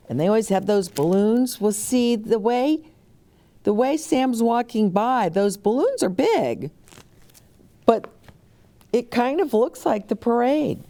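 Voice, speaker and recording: A woman storyteller reading a picture book aloud to young children, animated, with chatty explanatory asides. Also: fairly high pitch, creaky.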